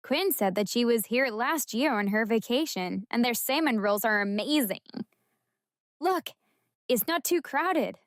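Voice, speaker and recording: A young actress playing a playful, mischievous athlete and best friend in an audiobook, chatty and teasing. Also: female voice, a little high, lively intonation, a little slow, dry recording, studio quality. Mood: cheerful, chatty. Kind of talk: audiobook character acting.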